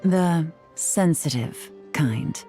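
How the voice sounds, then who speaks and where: A woman's voice: low voice, expressive, extremely slow, mellow, soft background music, exaggerated voice. A woman playing a poised, enigmatic female operative in a video game, composed and assured. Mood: cool, assured.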